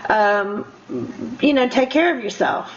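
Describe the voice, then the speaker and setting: An older woman interviewing a guest casually as a radio host, in a halting, rambling, encouraging way. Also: a little high, slight background noise. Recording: dull lo-fi radio interview audio.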